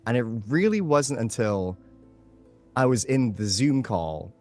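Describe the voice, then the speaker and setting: A man's voice: medium pitch, animated, measured pace, darkish tone, faint music behind. A man chatting casually as an interview guest, playful and a touch theatrical in how he puts things.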